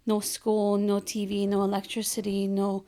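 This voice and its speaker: A woman from Afghanistan in her thirties, a guest speaking in a casual interview with many pauses and fillers. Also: mid-range voice, monotone, mellow. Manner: hesitant, many ums and restarts. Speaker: guest, rug business founder.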